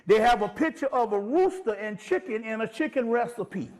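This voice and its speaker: A man with a high-pitched voice speaks as an informal lecturer on spiritual matters. He is emphatic and conversational, talking in plain, salty language and regularly checking that listeners are following him. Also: lively intonation, normal speed, a little mellow.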